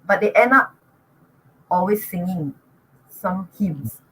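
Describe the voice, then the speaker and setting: An older Singaporean woman talks through her memories in a chatty, easygoing way, laughing as she goes. Her voice sits a little low. A faint noise hangs under the recording. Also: expressive, slow pace, fairly bright tone, poor audio. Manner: chatty, laughs often, many restarts. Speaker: guest. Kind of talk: casual interview talk.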